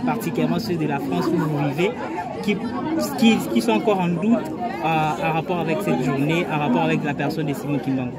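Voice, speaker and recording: A man's voice: on the high side, a little fast. A man conducting an on-site interview with formal, polite questions. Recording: loud crowd behind the voice. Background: busy crowd at a celebration.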